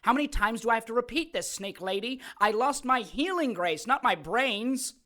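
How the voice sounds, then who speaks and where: A man's voice: very high-pitched, lively intonation, normal speed, bright, forceful tone, heavily acted. Sardonic, cold-blooded villain played by a man. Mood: impatient, sinister. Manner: dry, sarcastic. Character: sardonic, cold-blooded male ritual killer. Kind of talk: audio drama villain acting.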